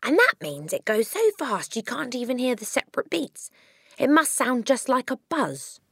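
An outspoken, bright little girl, eager in every line. The voice sits high above an adult's and swings freely up and down. It is a storybook child, recorded close and dry. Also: big pitch swings, normal speed, creaky, slightly rough, dry recording, full character voice.

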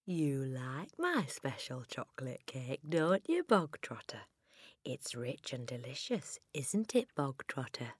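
A woman's voice: big pitch swings, dry recording, full character voice. A woman voicing a tyrannical, bullying headmistress in an audiobook, theatrical and menacing, with emphatic delivery. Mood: mock friendly. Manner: booming, barking insults with drawn-out emphasis. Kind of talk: audiobook character acting.

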